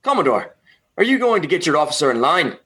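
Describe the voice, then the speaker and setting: Loyal, defiant station chief, male actor: blunt, expressive pitch, radio space comedy. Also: fast. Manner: blunt, protective. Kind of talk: comedy sci-fi radio acting.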